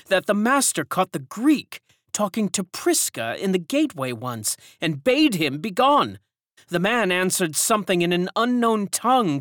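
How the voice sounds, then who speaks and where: A woman's voice: medium pitch, fairly bright tone, no echo, clean studio sound, voice pushed up. A woman voicing a knowing old servant woman. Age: older.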